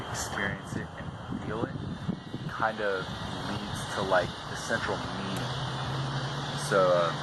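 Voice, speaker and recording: Teen guy talking casually, kinda low voice, halting, really noisy outdoors with cars. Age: teenager. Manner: hesitant, informal, many likes and pauses. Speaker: student leading a poetry discussion. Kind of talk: casual student discussion. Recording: very noisy outdoor audio with traffic.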